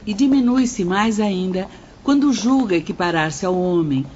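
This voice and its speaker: A woman's voice: medium pitch, expressive, unhurried, darkish tone, natural voice. A woman with a Brazilian accent playing a comforting, earnest spirit sister giving moral instruction in an audio drama. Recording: muffled, clearly noisy audio.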